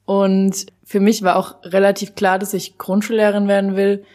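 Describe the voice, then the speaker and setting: A woman's voice: medium pitch, monotone, moderate pace, a little mellow. A twenty-year-old woman, a student teacher, answering thoughtfully with a few fillers. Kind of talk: casual interview answers.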